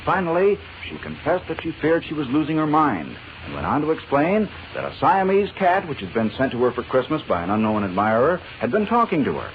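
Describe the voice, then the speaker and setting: Animated male vintage radio narrator. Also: fairly high pitch, brisk, few pauses. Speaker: announcer and narrator. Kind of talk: dramatic 1940s radio scripted narration. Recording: muffled old radio recording, faint hiss.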